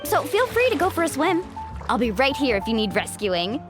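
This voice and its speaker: A playful young woman from a video game, chatty and outgoing. Her high voice swings brightly through the sentences, with music playing behind her. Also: sing-song, normal speed. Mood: fondly reminiscing. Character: cheerful, outgoing young woman who guides guests. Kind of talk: English video game voice acting.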